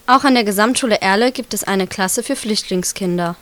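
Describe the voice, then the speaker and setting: A woman's voice: mid-range voice, a little fast, no room sound. Woman reading a news intro. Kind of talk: scripted narration.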